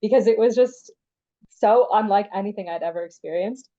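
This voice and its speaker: A woman's voice: mid-range voice, moderate pace, dark tone, muffled recording. A young woman from Canada, the guest in a casual interview, talking in a chatty way with many "like" fillers. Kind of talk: casual conversation.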